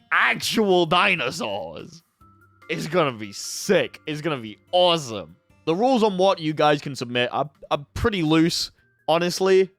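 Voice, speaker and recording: A man's voice: a little high, a bit bright, no echo. Young guy hosting his gaming channel, sounds kinda sick, chill and sweary, faint music behind. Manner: laid-back and swearing, sometimes annoyed. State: sick with a headache. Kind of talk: casual talk to camera.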